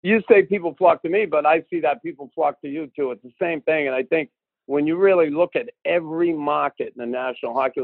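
An older man with a Boston accent, a guest on a podcast, telling stories in a gruff, casual way with frequent restarts. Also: on the high side, no echo. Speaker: podcast guest, former hockey enforcer. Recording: tinny phone-like line, dry.

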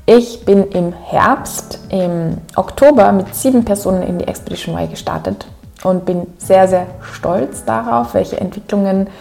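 A woman's voice: medium pitch, measured pace, gravelly, darkish tone. A young woman with an Upper Austrian accent, hosting a podcast and chatting to listeners with frequent ums. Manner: chatty, frequent ums, friendly direct address. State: recovering from a cold. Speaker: podcast host. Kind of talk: solo podcast intro.

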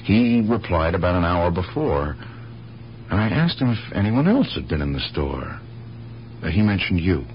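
An actor playing an earnest, persistent police detective in a 1970s radio drama, with a halting, thoughtful, probing manner. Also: male voice, low voice, sing-song. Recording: dull, noisy old radio broadcast.

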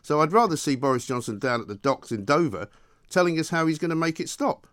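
A man's voice: fairly high pitch, animated, fast, a bit bright. A British man hosting a combative political interview, argumentative and opinionated as he challenges his guest. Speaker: radio talk show host interviewing a politician.

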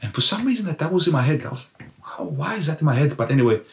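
A man's voice: fairly high pitch, very animated, rapid-fire, gravelly. A middle-aged South African man whose first language is Afrikaans, telling his life story in an interview and acting out his past self with exclamations. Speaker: guest, former footballer. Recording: roomy echo.

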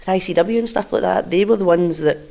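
A woman's voice: lowish voice, quick pace, vocal fry, mellow. A woman with a Glasgow accent chatting casually as an interview guest, full of fillers and restarts. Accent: Scottish, Glasgow. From Scotland. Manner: chatty, filler-heavy, leans on "like". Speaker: guest wrestler.

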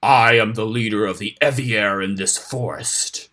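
A man's voice: fairly low pitch, measured pace, bright, forceful tone. A man voicing a huge, vengeful wolf leader in a storybook, with a hard, harsh voice and contemptuous, venomous delivery. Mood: venomous rage.

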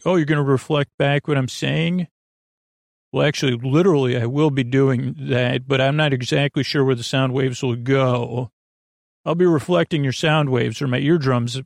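A man delivers a rambling bedtime monologue as a podcast host. His voice is middling in pitch with heavy creak, recorded very dry. He meanders, hesitates and drifts into digressions, often leaving thoughts unfinished. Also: dry recording. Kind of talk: rambling bedtime monologue meant to lull listeners to sleep.

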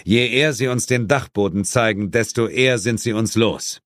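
Man acting a gruff, brash police inspector. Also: lowish voice, normal speed, a bit bright, no room sound, clean studio sound, pitched above the performer's own voice, lightly acted. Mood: cocky. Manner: barking, curt commands.

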